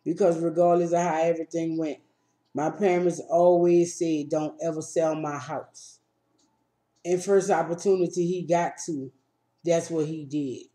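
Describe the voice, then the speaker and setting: Woman chatting on her vlog, chill and plainspoken, mellow voice, roomy echo. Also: sits low, fairly even intonation, measured pace. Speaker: vlog host. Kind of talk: casual storytime chat while eating.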